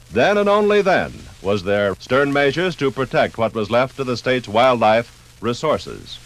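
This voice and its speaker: A man's voice: mid-range voice, a bit bright, low-quality recording. A man narrating a scripted 1950s radio documentary in a solemn, rhetorical way. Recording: 1950s radio recording, faint background noise.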